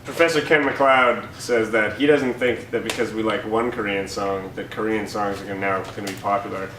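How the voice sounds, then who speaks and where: A young man co-hosting a casual satirical show, joking in a sarcastic, deadpan way. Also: fairly low pitch, brisk. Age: young adult. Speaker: co-host of a campus humour paper's show. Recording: roomy, distant mic, faint noise.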